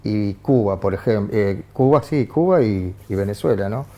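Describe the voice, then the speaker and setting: A man with an Argentine accent, a journalist guest on a TV political panel who talks in halting phrases with frequent restarts and fillers. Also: lowish voice, expressive, warm tone. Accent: Argentine Spanish. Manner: hesitant, many restarts and fillers. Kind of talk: TV studio political discussion. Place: TV studio.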